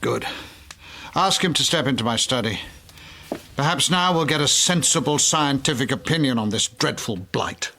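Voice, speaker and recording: A reasonable, mild-mannered master of the house in a period drama. His medium-pitched voice is courteous and measured, with quiet authority. Faint noise is behind him. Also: expressive. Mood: weary. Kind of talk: period audio drama acting.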